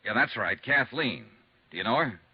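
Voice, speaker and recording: A man acts a hard-boiled, insistent American investigator in old radio detective style. His delivery is clipped and wry, with lively swings of pitch. The recording is dull and vintage. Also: moderate pace, slight background noise, natural voice. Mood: impatient, wry. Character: hard-boiled, persistent male insurance investigator. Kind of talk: 1950s radio detective narration and dialogue. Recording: muffled 1950s radio recording.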